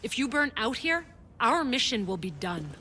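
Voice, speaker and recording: Game role: supportive female team therapist, clear-cut bright tone, steady and caring. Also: a little high, moderate pace, a little hiss or hum. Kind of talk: video game cutscene acting.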